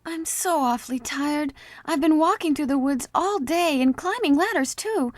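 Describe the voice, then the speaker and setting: A woman voicing a curious, timid young girl in a storybook radio play, with a breathless, plaintive way of speaking. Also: sits high. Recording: music playing underneath.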